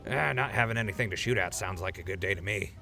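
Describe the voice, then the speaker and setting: A man's voice: on the low side, quick pace, gravelly, sharp tone, faint music behind. A man playing an easygoing, wisecracking leader of a radio crew in an audio drama, glib and casual. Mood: upbeat, casual.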